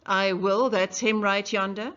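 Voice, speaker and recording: A woman's voice: fairly even intonation, unhurried, fairly bright tone, close to an everyday voice. A woman voicing a frightened, defiant young witness, stammering and defensive. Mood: agitated. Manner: shrill, stammering, defensive outbursts. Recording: dull lo-fi recording.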